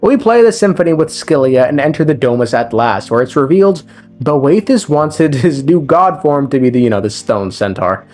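A man's voice: medium pitch, expressive, moderate pace, a little mellow. A man giving a casual, conversational recap of a video game story as a lore commentator, with slang and swearing. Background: game music.

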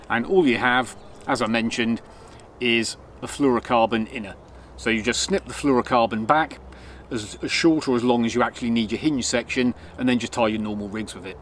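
Relaxed man explaining a fishing rig. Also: mid-range voice, a little hiss or hum. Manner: relaxed, explanatory, with frequent ums. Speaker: angler demonstrating fishing rigs. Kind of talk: casual product demonstration. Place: outdoors on a woodland path.

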